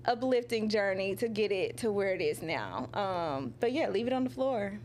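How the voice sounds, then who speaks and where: A woman singer-songwriter talking casually in an interview, animated and full of laughs, acting out her own quotes, with drawn-out "you know" and "um" fillers. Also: medium pitch, slight background noise.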